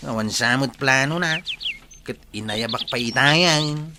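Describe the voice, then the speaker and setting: A man's voice: medium pitch, measured pace, natural voice. A man playing a chatty, joking villager in an Ilocano radio comedy, with an Ilocano Filipino accent. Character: chatty, joking male villager helping at harvest. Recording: noisy track with chirping birds.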